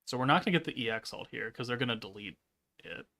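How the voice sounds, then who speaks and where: Male streamer, 30s, gravelly and deadpan, laid-back monotone chat. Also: medium pitch, fast. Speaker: gaming streamer. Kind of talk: casual live-stream chat, reading chat aloud.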